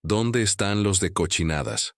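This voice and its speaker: A temperamental, well-off doctor snaps his lines curtly, impatient and irritable, as a deep-voiced male narrator puts him on. The voice sits noticeably higher than the storyteller's own. The sound is dry and close, with no room around it. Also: measured pace, no echo. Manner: curt and impatient.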